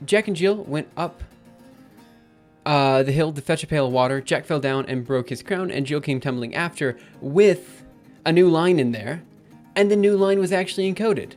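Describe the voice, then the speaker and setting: A man live-streaming his coding session, thinking aloud in an upbeat, chatty way while typing, his voice a little raspy. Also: high voice, a little mellow. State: slightly raspy after a drink. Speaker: programming livestreamer. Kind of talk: live coding stream, casual talk-through.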